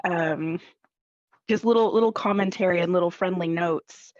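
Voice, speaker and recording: A dry, slightly dull recording of a woman with a fairly low voice. She is an actor reminiscing in a casual interview. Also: moderate pace, dry recording.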